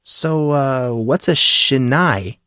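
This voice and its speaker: A man's voice: mid-range voice, takes it slowly, pushed and bright, smooth, no echo, studio quality, pitched above the performer's own voice. A man voicing a curious, hesitant and wry fourteen-year-old boy in an audiobook. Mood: curious, probing. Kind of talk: audiobook character dialogue.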